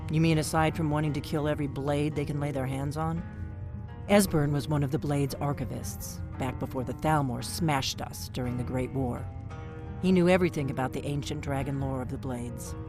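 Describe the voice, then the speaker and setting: A woman's voice: low voice, steady tone, moderate pace, close to an everyday voice. An actress playing a terse, world-weary middle-aged female secret agent in a fantasy video game, wry and guarded. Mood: wry, intent. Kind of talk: video game character dialogue. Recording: game music behind the voice.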